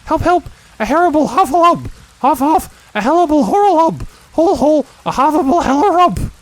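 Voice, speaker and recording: A man voicing a small, timid piglet from a children's story, high and squealing, stammering breathlessly. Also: expressive, unhurried, darkish tone, full character voice, low-quality recording. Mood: terrified. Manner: breathless, stammering, repeating words.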